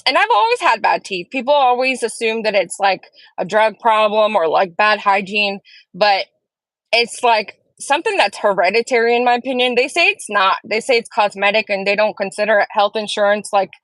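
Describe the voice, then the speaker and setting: A woman's voice: medium pitch, normal speed, dry recording. Woman streaming from home, just chatting and answering comments, dry close sound. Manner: chatty, answers viewers' comments aloud, warm and earnest. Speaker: livestream host. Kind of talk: casual live-stream chat.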